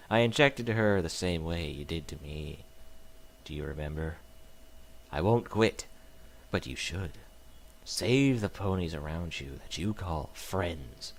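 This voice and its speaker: Man voicing a sinister note-writing stalker, deep, dragged-out, quiet threat. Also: vocal fry, full character voice. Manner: slow, low, menacing. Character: sinister unseen stalker leaving taunting notes.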